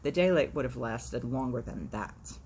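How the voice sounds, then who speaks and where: A woman's voice: extremely low pitch, animated, normal speed, darkish tone, a little hiss or hum, pitched below the performer's own voice. Woman voicing a haughty, touchy rooster. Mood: indignant. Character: proud, touchy barnyard rooster, male, haughty.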